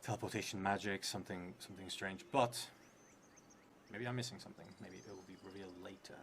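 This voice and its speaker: A low-pitched man in his thirties thinks aloud through a solo roleplaying story. He is hesitant and musing, letting sentences trail away. The recording has clear background noise. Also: fairly even intonation, quick pace. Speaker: solo tabletop roleplay player and streamer. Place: indoors at a table in a theatre room. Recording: clearly noisy room recording.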